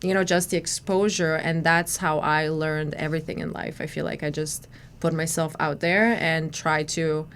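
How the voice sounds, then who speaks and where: A woman's voice: deep voice, little pitch movement, normal speed, fairly bright tone, slight background noise. A woman in her thirties from Croatia, speaking English, chatting casually as a podcast guest with lots of fillers and restarts. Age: 30s. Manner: chatty, frequent restarts and "you know" fillers. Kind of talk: casual conversational storytelling.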